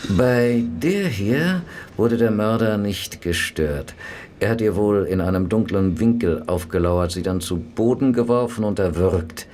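A dry, grumbling pathologist acted by a man in a radio play. He speaks in a fairly low voice with clinical detachment, over slight background noise. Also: unhurried, a little mellow, lightly acted. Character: matter-of-fact, grumbling male police pathologist. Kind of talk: German radio play acting.

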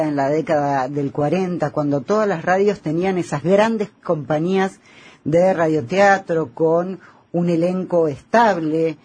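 A man's voice: on the high side, a little mellow, breathy edge. A man with an Argentine accent hosting a conversational radio interview. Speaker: radio host.

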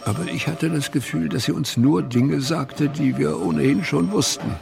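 A detective w very gravelly voice, sober account, music behind. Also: male voice, fairly high pitch. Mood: sober, matter-of-fact. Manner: matter-of-fact, measured recounting. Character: sober male police detective giving his account. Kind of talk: German docudrama radio play testimony.

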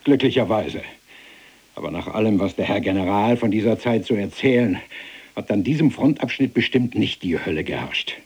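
An actor playing a sardonic, plain-spoken middle-aged German prisoner in a radio play, with a husky voice and mocking, colloquial emphasis. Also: male voice, lowish voice, animated, normal speed, darkish tone.

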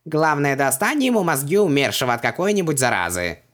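A man's voice: a little high, very animated, a little fast, a bit bright, pitched above the performer's own voice. A man voicing a tiny, cheeky and sulky djinn servant in an audiobook, indignant and boastful. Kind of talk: audiobook character voice.

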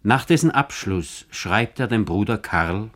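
Male narrator for a literary radio biography: lowish voice, measured, formal scripted read. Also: expressive, a bit bright.

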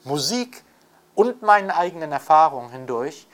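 Low-voiced woman, measured and emphatic. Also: lively intonation. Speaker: interviewee. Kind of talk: reflective interview remarks.

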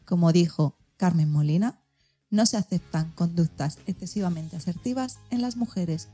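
A woman's voice: lowish voice, warm tone, slightly rough. A woman with an accent from Spain, hosting and presenting a scripted radio show. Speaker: programme host.

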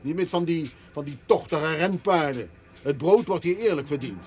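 An actor playing a stern, suspicious middle-aged farmer father in a radio drama, gruff and disapproving. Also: on the high side, expressive, moderate pace, natural voice.

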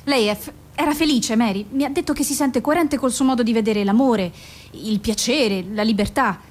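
An actress in a radio drama playing a composed woman therapist who questions persistently in a measured, formal, controlled way. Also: on the high side, close to an everyday voice. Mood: firm, controlled. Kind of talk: Italian radio drama acting.

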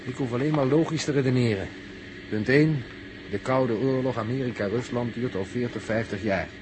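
A coolly logical crewman played by a man in an old radio play. He reasons methodically in a mid-pitched voice, precise and sceptical. The sixties recording carries faint noise. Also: brisk, close to an everyday voice. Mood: reasoning, insistent. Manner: methodical, enumerating, pedantically precise. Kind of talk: 1960s Dutch radio drama acting.

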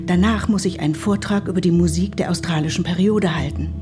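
An actress playing an impatient, condescending mother in a radio play, brisk in manner. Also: fairly low pitch, natural voice. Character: impatient, isolated mother in a machine-run future. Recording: music behind the voice. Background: music.

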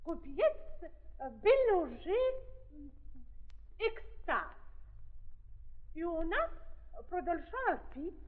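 An actress playing a fussy, proud brothel madam in a 1930s radio play, with a German accent and effusive broken Russian. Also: female voice, very high voice, sing-song, heavily acted. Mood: self-important. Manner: slow, effusive, ungrammatical speech. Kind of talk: 1930s theatrical radio drama acting. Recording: muffled 1930s archive recording.

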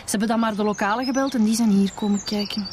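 A woman's voice: mid-range voice, fairly even intonation, fast, darkish tone, a little hiss or hum, natural voice. Actress playing a factual Flemish female detective. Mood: businesslike. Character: matter-of-fact female police detective. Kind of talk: radio drama acting.

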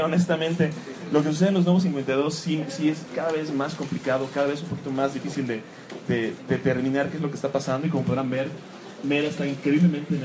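Guy talking comics to a crowd, kinda high voice, lots of jokes at himself, noisy room. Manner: joking, self-mocking, animated asides. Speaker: comics talk presenter. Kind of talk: live comics talk to an audience. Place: room with a live audience. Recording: clear background noise.

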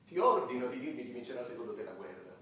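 Pompous head of state, echoey hall. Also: male voice, mid-range voice, sing-song, fast talker, sharp tone. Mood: insistent. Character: pompous, cynical head of state, male, commanding. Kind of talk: satirical stage-style radio theatre acting. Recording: echoey, noisy hall, far from the mic.

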